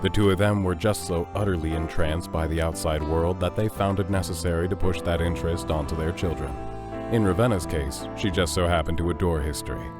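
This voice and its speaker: A man's voice: deep voice, brisk. A man reading scripted narration for an audio drama as its narrator. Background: music.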